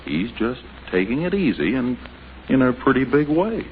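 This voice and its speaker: A man's voice: mid-range voice, very animated, moderate pace, slight background noise. An American man narrating a 1950s radio sitcom as announcer, genial and wry. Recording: muffled old radio broadcast.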